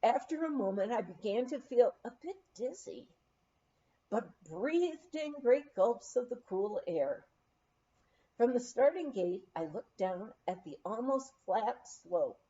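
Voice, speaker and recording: A woman reading a humorous memoir aloud in an unhurried storytelling manner. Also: fairly high pitch, expressive, warm tone. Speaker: reader. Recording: dull lo-fi audio.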